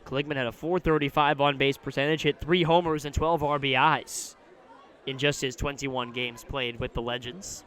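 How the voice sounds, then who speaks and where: An American man calling baseball play-by-play for radio, describing each moment in detail in a live outdoor broadcast. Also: mid-range voice. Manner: measured description, excited on big plays. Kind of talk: live sports commentary. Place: outdoor ballpark broadcast position.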